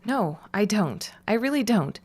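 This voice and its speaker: A woman's voice: medium pitch, very animated, measured pace, a little mellow. An actress playing a wry, realistic young woman in a period audio drama, dry and blunt, weary of family hopes. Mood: firm, bitter.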